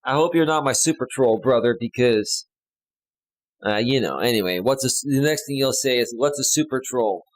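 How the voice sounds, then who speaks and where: A man's voice: medium pitch, normal speed, low-quality recording. A man hosting a casual live stream as a life coach, joking loosely with his chat viewers. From United States.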